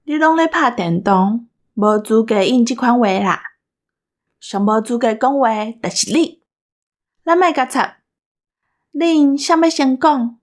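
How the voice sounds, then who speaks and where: A woman's voice: fairly high pitch, expressive, unhurried. A woman with a Taiwanese accent co-hosting a casual special, bossy and teasing in her banter. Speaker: co-host of a radio drama cast special.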